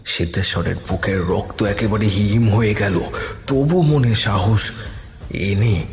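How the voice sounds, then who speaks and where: A man narrating a scripted horror story dramatically, with suspenseful, deliberate pauses. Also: fairly low pitch, a little mellow.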